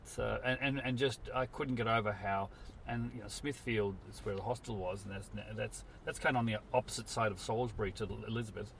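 A man's voice: fairly low pitch, fairly even intonation, brisk, a bit bright. A man in his sixties, born in Scotland, telling rambling stories with self-deprecating humour as an interview guest, recorded outdoors by a road. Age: 60s. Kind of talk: casual storytelling chat. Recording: distant mic with street noise.

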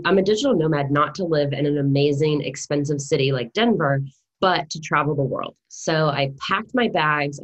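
A woman's voice: low voice, rough recording. A woman in her thirties with an American accent, speaking as a podcast guest in a conversational storytelling style. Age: 30s. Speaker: podcast guest, real estate investor.

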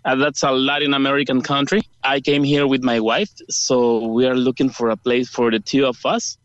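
A man from El Salvador with a Spanish accent, calling a radio show by phone and answering earnestly in halting English. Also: mid-range voice, level tone, dry recording. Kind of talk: conversational interview answers. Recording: dull phone line.

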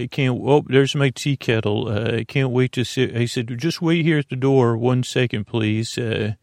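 A man hosting a bedtime podcast, talking in a slow, meandering way full of fillers, tangents and restarts, with a creaky voice. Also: medium pitch, no echo, studio quality. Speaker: podcast host. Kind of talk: rambling bedtime podcast monologue.

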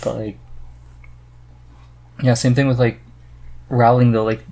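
A man hosting a podcast in casual chat, halting as he searches for words. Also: mid-range voice, little pitch movement, warm tone, rough recording. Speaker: podcast host.

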